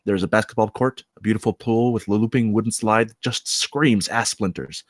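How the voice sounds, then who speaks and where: A man's voice: on the low side, steady tone, brisk, dry recording, clean studio sound. A man reading stage directions as narrator of a scripted comedy table read.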